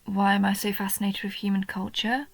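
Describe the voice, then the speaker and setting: A woman voicing a young female goblin in a roleplay audio, a nerdy, sweet and curious bookworm who talks earnestly and eagerly, sometimes softly breathy. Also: mid-range voice, flat delivery, fairly quick, dry recording. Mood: fascinated, earnest. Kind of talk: roleplay audio, intimate one-sided scripted dialogue.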